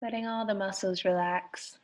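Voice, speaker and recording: A woman speaks as a meditation guide, with a medium-pitched voice. Her delivery is soothing and gentle, giving soft instructions in short, unhurried phrases with room left between them. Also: fairly even intonation.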